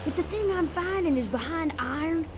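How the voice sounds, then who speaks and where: An actress playing an eccentric, brassy 1930s spirit medium in an audio drama, slangy, excitable and wisecracking. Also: female voice, extremely high pitch, moderate pace, noisy background. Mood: businesslike. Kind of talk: period audio drama acting.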